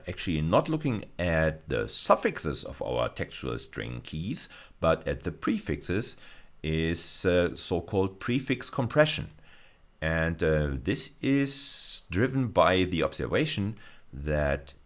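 A middle-aged man with a German accent giving a recorded university lecture, explaining step by step with frequent fillers. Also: extremely low pitch.

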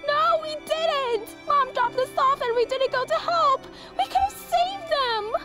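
Anxious little fox kid: extremely high voice, loud music behind. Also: female voice, a little slow. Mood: distraught. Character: frightened little fox kid in animated fantasy. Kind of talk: animated comic dub acting.

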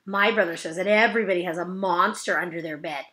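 A woman's voice: lowish voice, quick pace, bright, forceful tone. A woman voicing a smug little boy who teases and tries to scare a classmate in a know-it-all way. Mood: teasing.

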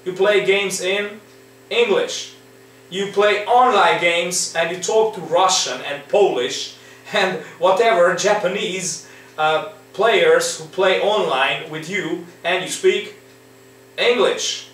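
A man teaches an English exam lesson from his living room, slowly coaxing his students along. His voice sits a little high and he keeps checking in with them. The sound rings through an echoey room, picked up from a distance with faint background noise. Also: fairly bright tone. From Romania. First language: Hungarian. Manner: slow, coaxing, repeats with rhetorical "right?". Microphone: laptop or camera in front.

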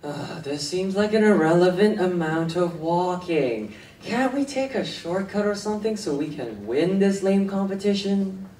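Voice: mid-range voice, animated, a little slow, few pauses, a little mellow, close to an everyday voice. A teenage student playing a lazy, whiny, sarcastic, snack-loving kid hiker in a school radio drama on an echoey stage. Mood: disgusted, whiny. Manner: sarcastic, grumbling. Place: indoor theatre stage. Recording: echoey stage hall, distant microphone.

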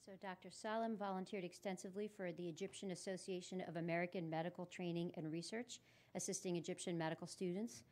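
A woman's voice: fairly low pitch, fairly even intonation, fast talker, a little mellow. A woman introducing people from notes as a presenter at a public meeting, recorded in a noisy room. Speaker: residency program presenter.

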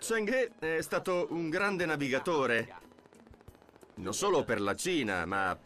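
A man's voice: fairly high pitch, expressive, takes it slowly, crowd noise. A man voicing an interviewee in a documentary dub. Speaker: voice-over for an interviewee.

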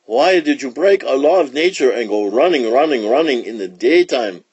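A man voicing a stern, commanding lion king in a storybook, declaiming deliberately as judge. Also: mid-range voice, very animated, darkish tone, breathy edge, no echo, dull audio, pitched above the performer's own voice, full character voice. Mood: stern questioning. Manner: declamatory, deliberate, building through lists.

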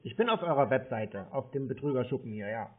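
A man's voice: mid-range voice, lively intonation, fast, phone-quality sound. A man in an argumentative phone conversation, talking in a blunt, confrontational, colloquial way as he challenges the caller. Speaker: scam baiter on a call.

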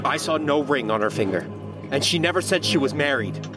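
A man's voice: mid-range voice, lively intonation, brisk, over loud music, lightly acted. A man playing a defensive, irritated working man in an audio drama.

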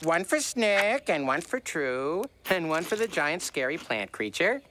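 An actor voicing a timid, hungry cartoon cat sidekick who jokes and frets. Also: female voice, fairly low pitch, big pitch swings, measured pace, very noisy. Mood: jittery. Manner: jittery, jokey, snack-obsessed. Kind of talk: cartoon voice acting. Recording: music behind.

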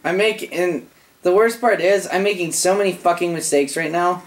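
A host playing a horror game, scared and swearing, with a voice that is wearing out from yelling. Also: medium pitch, moderate pace. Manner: frightened, swearing, bursts of yelling at enemies. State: voice giving out. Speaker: gaming channel host. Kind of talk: live let's-play commentary. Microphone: gaming mic.

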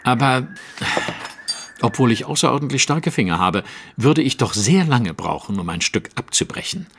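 An actor playing a courteous, self-assured detective with a formal, probing way of speaking, in a German audio drama. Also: male voice, mid-range voice, animated, moderate pace, vocal fry, a bit bright, husky, long reverb tail, exaggerated voice. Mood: confident, testing.

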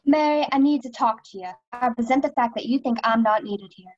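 A woman's voice: on the high side, brisk, a little mellow, muffled recording, lightly acted. A woman acting an offended, defensive young guest with a curt manner in a mystery radio play.

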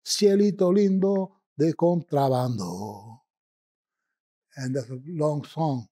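A man with a Puerto Rican accent, a poet telling stories in a rambling, reminiscing way with filler pauses. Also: a little high, dark tone, husky. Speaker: poet guest. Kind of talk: relaxed conversational storytelling.

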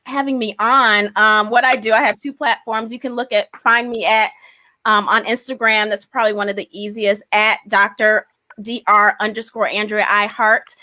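A woman in her forties with a bright, forceful voice at a middle pitch talks casually as a guest on a video-call show. She is encouraging and sounds dry, close on a desk mic. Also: flat delivery, normal speed, no room sound. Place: small indoor room on a video call. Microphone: large desk microphone, close.

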